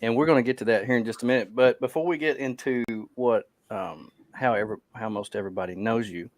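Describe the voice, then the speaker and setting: A man's voice: mid-range voice, fast, dry recording. A man hosting a podcast in a casual, conversational style. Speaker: podcast host.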